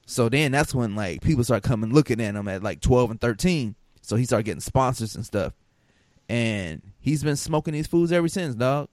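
A man's voice: medium pitch, lively intonation, moderate pace, darkish tone, no echo, clean studio sound. Slangy laid-back male podcast co-host. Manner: loose slangy storytelling, lots of fillers. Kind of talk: casual chat.

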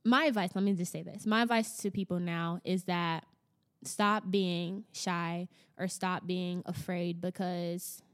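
A young woman with a strong, loud soprano voice, chatting casually and giving advice in an animated, emphatic way, repeating phrases for effect. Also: fairly low pitch, a little slow, clean tone. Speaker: singer, interview guest.

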